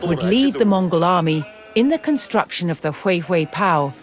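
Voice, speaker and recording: A woman giving measured, formal scripted narration in the style of a historical documentary for a game. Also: on the low side, lively intonation. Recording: music behind the voice. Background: background music.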